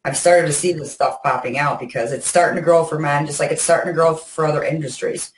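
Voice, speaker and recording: A woman's voice: low voice, fairly even intonation, fast talker, a little hiss or hum. A middle-aged woman explains things in a casual, chatty way as a guest on an online show. Her video call sounds roomy and echoing. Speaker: guest Pinterest specialist. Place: home room, video call.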